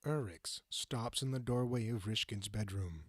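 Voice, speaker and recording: A man playing the composed narrator of a fantasy audio drama, measured and formal in scripted delivery. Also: fairly low pitch, no room sound, studio quality.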